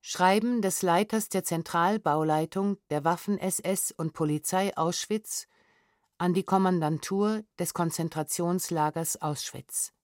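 A woman's voice: on the low side, unhurried, no echo, studio quality. A woman narrator giving a formal, factual reading of archival documents, restrained and precisely enunciated. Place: studio.

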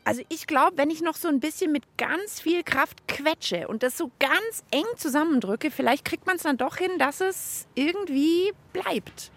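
A woman's voice: high-pitched, expressive, normal speed, hardly any pauses, a bit bright, no room sound. A woman co-hosting a children's radio show outdoors, chatting cheerfully and thinking aloud as she builds. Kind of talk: lively radio chat while building. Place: outdoors among autumn leaves.

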